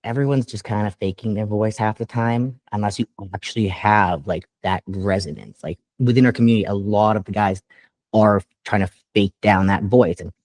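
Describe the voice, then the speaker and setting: A man with a lowish voice talks casually as an interview guest. He is animated, his pitch leaps upward when he gets excited, and he laughs often. The sound is close and dry, with no room around it. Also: normal speed, no echo. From Canada. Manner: trained classical singer. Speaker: guest musician.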